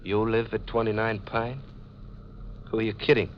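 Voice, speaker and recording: An actor playing a wry, cocky plainclothes detective with a casual streetwise manner in 1940s radio mystery style. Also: male voice, on the low side, level tone, normal speed, close to an everyday voice. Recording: muffled, noisy old radio recording.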